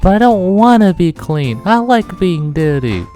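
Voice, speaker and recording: A man voicing a pouty, whiny little girl of about six in a visual novel, reading her lines in a high childish whine. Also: very animated, measured pace, mellow, soft background music.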